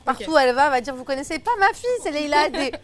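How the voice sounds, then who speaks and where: A woman's voice: high-pitched, racing, a crowd in the background. A woman in her twenties from Belgium, a singer and influencer chatting casually with many fillers and restarts. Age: 20s. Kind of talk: casual chat. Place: table at a food tasting.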